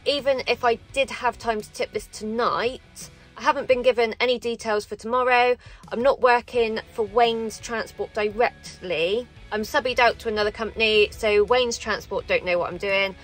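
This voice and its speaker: A woman's voice: a little high, moderate pace, fairly bright tone, faint music behind. A woman in her thirties, a truck driver, chatting casually to a close camera from her parked cab and thinking aloud. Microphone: camera close in front, handheld or dash-mounted.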